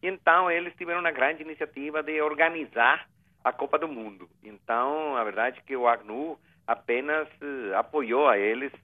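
A man's voice: on the high side, moderate pace. A man giving a phone interview, speaking earnestly with many hesitations and restarts. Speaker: interviewee, refugee agency representative. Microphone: telephone. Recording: tinny phone line.

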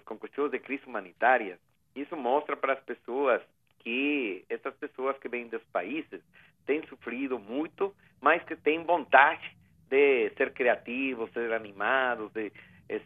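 A man giving a phone interview, speaking earnestly with many hesitations and restarts. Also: on the low side. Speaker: interviewee, refugee agency representative. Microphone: telephone. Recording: tinny phone line.

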